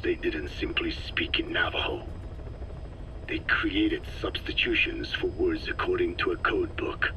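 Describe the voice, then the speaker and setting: A man playing a very old, solemn Navajo scientist in a video game, speaking slowly and formally with a breathy, whispery voice full of regret. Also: sits low, background noise. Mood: solemn, explaining. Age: older. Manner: slow, solemn, measured, formal. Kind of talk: dramatic game dialogue, reflective monologue.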